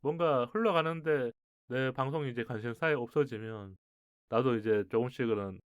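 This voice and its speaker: Male radio presenter, medium pitch, chatty and conversational delivery, very dry recording. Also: moderate pace, clean tone, no echo, phone-quality sound. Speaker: radio show host.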